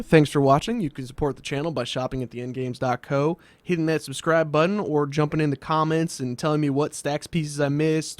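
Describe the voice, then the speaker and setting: A man with a somewhat high voice narrates a scripted comedic explainer as its host. His delivery is wry and sardonic, and the sound is close and dry, with no room on it. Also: no room sound. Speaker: host of a card game video series.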